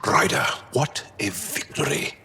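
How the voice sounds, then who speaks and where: A man voicing an earnest alien warrior crewmate in a video game, husky and heartfelt. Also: measured pace, pushed and bright. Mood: triumphant.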